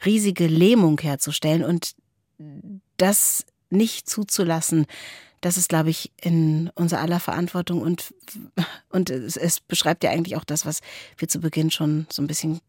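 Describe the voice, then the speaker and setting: A woman's voice: low-pitched, fairly even intonation, moderate pace, darkish tone, breathy edge, dry recording, studio quality. A woman co-hosting a reflective podcast conversation with thoughtful, searching phrasing. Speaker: podcast co-host. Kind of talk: reflective conversation about philosophy.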